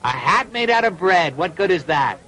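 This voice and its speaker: A man's voice: high voice, sing-song, moderate pace, bright, forceful tone, slight background noise, full character voice, poor audio. A man voicing a mocking, dismissive young streetwise fellow in a dubbed cartoon, sarcastic and scornful.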